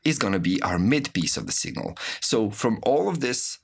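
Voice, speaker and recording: Male instructor, very low pitch, lively intonation, informal explanatory tutorial delivery. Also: normal speed, gravelly, slightly rough. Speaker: music production instructor. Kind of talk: spoken tutorial with software demonstrations.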